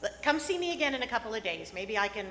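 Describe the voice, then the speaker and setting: A woman playing an encouraging older mentor in a live radio play, earnest, measured and persuasive. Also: fairly high pitch, big pitch swings, a bit bright, slight background noise. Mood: earnest, wistful. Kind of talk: live radio theatre acting.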